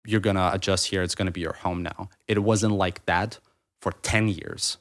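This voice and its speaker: A man's voice: low voice, fairly even intonation, normal speed, fairly bright tone. A man in his thirties, originally from Ukraine with Russian as his first language, talking reflectively as a podcast guest, rambling with restarts and fillers. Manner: rambling, restarts mid-thought, many fillers. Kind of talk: reflective conversational interview.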